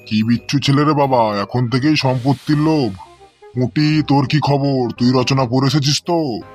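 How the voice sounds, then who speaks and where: A man's voice: mid-range voice, fairly even intonation, moderate pace, darkish tone, faint music behind, lightly acted. A man voicing an exasperated, threatening male schoolteacher in a comic cartoon, scolding and sarcastic, with a Bengali accent.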